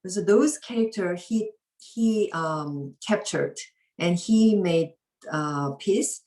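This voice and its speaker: A woman, a musician explaining a piece in a halting way with many fillers and restarts. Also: lowish voice, dark tone, rough recording. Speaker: piri performer, guest.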